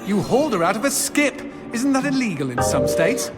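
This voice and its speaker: A droll, wisecracking demon skull from a video game, speaks in a fairly high, theatrical voice. His delivery swings up and down with florid storyteller's relish, over background music. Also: big pitch swings, brisk. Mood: cheeky. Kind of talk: scripted video game acting.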